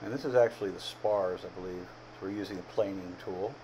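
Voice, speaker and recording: Deep-voiced middle-aged man narrating. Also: brisk, a little mellow, slight background noise, poor audio. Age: 50s. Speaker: amateur boat builder narrating his project video. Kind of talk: casual voice-over narration of a timelapse.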